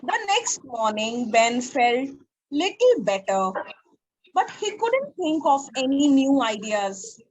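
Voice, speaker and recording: A woman with a high, lively voice reads story narration aloud. She goes slowly and carefully, halting between phrases as in a reader's theatre. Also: breathy edge, dull audio.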